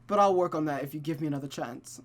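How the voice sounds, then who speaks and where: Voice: mid-range voice, fast, close to an everyday voice. A reader voicing an anxious, lovestruck young woman from a visual novel, earnest and faltering as they plead. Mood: remorseful.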